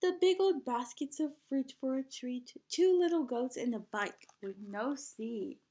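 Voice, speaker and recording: A woman reading a children's picture book aloud in an animated teacherly way, guiding young listeners with questions and prompts. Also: high-pitched, measured pace.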